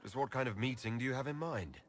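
A man voicing a level-headed, dryly teasing elf leader in a video game, with a husky voice and measured delivery. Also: mid-range voice, slight background noise, natural voice. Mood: wary, questioning. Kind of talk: video game dialogue acting.

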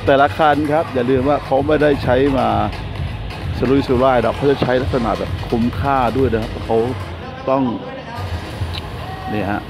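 A man's voice: medium pitch, normal speed, long pauses, music in the background. A man giving casual walkaround commentary at an outdoor car meet, chatty and polite, talking into a handheld camera. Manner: chatty, adds polite "na khrap" to nearly every line. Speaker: car show vlogger.

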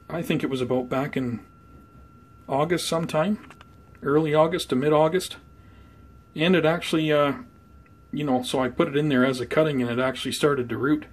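A man giving an unhurried, conversational show-and-tell as a hobby vlogger, talking casually indoors. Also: on the high side. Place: indoors at home by plant shelves. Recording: clear background noise, room ambience.

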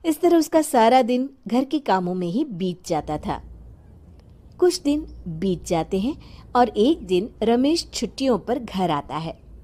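A woman narrating a moral cartoon story in a plain, even storytelling manner. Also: on the high side, measured pace.

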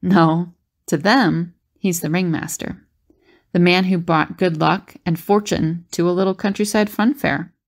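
A woman's voice: on the low side, fairly even intonation, a little slow. A woman playing a confident, resourceful female adventurer in an audio drama. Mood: assured, explaining.

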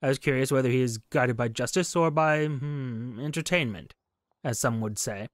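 A composed, inquisitive king in a historical story game, read aloud by a man. He is polite and measured, with a medium pitch and a very dry sound. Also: no echo, natural voice. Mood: polite curiosity. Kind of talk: reading game dialogue aloud.

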